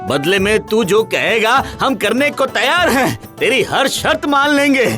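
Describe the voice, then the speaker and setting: An actor voicing a greedy village landlord, humbled and wheedling, in a Hindi animated moral story with theatrical, beseeching delivery. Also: male voice, very high-pitched, unhurried, full character voice. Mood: pleading, bargaining. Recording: loud background music.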